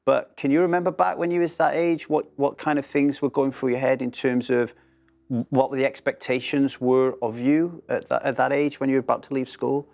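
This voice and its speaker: A man's voice: mid-range voice, level tone, fairly quick, gravelly, darkish tone. A middle-aged man hosting an interview, chatting freely and swapping his own stories with the guest. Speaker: interview host. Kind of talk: casual interview chat.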